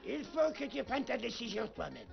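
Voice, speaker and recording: Gravelly high-pitched old wolf elder. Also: male voice, big pitch swings, moderate pace, muffled recording, soft background music, heavily acted. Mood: solemn. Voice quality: creaky, gravelly. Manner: scolding, authoritative. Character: old wolf grandfather, stern clan elder. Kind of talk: French animated series dub.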